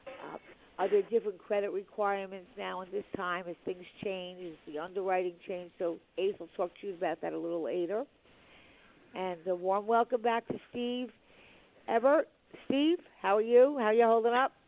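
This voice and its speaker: A woman hosting a talk radio show, a little hoarse, chatty with lots of fillers. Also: medium pitch, steady tone, normal speed. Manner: chatty, with many fillers and restarts. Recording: dry tinny phone-like radio sound.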